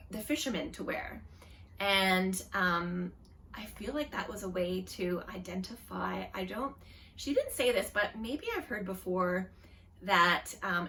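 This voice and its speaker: A woman's voice: medium pitch, vocal fry, bright, forceful tone, distant mic, a little hiss or hum. A woman hosting a knitting podcast, chatting casually in a rambling way with lots of fillers and self-deprecating asides. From Canada. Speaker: podcast host. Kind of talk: casual chat.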